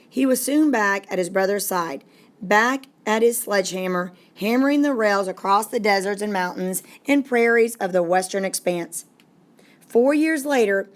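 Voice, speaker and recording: A woman reading a story aloud as a narrator, in a deliberate, dramatic storytelling manner. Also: medium pitch. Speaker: storybook reader. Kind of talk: expressive read-aloud narration.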